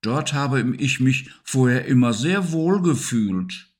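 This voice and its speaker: Guy doing a soft little night critter, high voice, kind and patient, slow. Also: lively intonation. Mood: calm. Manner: soothing and patient. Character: calm, gentle male nocturnal animal. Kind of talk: storybook reading.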